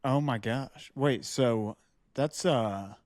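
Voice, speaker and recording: A man's voice: mid-range voice, slow pace, a little mellow, no echo, clean studio sound. A man taking part in a podcast, reacting and asking questions in casual chat. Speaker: podcast participant.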